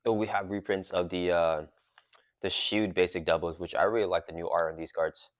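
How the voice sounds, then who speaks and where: A man with a very low voice talks loosely through an unboxing, full of "uh" and "um". His throat is sore and he has a cough drop in his mouth. The recording is dry and slightly dull. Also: brisk, no echo. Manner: relaxed, rambling, many fillers. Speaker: channel host. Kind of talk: casual unboxing and card game talk.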